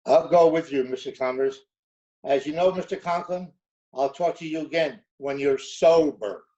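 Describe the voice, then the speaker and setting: Guy playing a huffy formal man in old radio comedy, stern, higher voice, muffled recording. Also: moderate pace, dark tone, close to an everyday voice. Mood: disgusted. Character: stern, offended male visitor, curt and formal. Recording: dull, muffled remote call recording.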